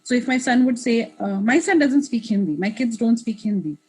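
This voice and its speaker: Woman in her 30s, kinda husky, higher voice, thinks out loud, lots of restarts. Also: expressive, a little fast, poor audio. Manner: reflective, talks in restarts and asides. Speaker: interview guest, author. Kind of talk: casual interview conversation.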